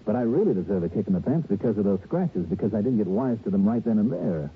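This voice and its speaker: Punchy radio detective, tinny recording. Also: male voice, fairly low pitch, expressive, fast talker. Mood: insistent. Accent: American. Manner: punchy, insistent, slangy delivery. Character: brisk, wisecracking male insurance investigator hero. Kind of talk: 1950s-60s radio detective drama acting and narration. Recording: muffled, noisy vintage radio broadcast.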